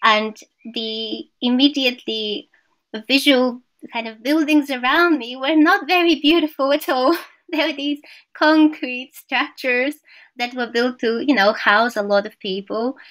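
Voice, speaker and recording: Bulgarian accented lady, kinda high voice, close dry sound, talking thru her ideas like an interview guest. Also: fairly even intonation, unhurried, a bit bright, no room sound, poor audio. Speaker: guest, philosopher of science. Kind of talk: reflective interview answers.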